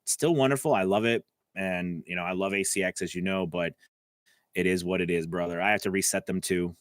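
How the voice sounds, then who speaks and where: A man's voice: low voice, steady tone, a little fast, no echo. A man coaching voice actors on a live stream, answering viewer comments in a casual, chatty way from his home studio. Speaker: voice-over coach.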